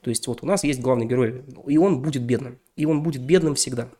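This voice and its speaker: Young male podcast host, a bit sick, fast and loose, full of fillers and restarts. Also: medium pitch, mellow. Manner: rambling, many fillers and self-corrections. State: slightly ill. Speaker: podcast host reviewing a novel. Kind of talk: casual spoken book review.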